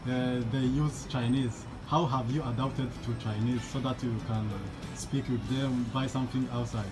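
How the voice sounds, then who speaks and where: A man's voice: mid-range voice, steady tone, normal speed, over music. A man interviewing a graduate outdoors, asking friendly questions from behind the camera. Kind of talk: casual interview.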